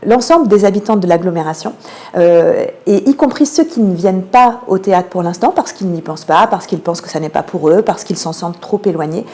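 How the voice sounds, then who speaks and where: A woman giving explanatory answers as a guest in a radio interview, often pausing on drawn-out hesitations. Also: lowish voice, expressive, warm tone. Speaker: theatre programming manager, interview guest.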